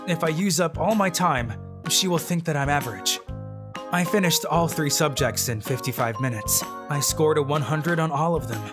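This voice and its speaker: A man playing a deadpan genius schoolboy. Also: on the high side, lightly acted. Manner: deadpan inner monologue. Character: dry, secretly genius teenage boy student.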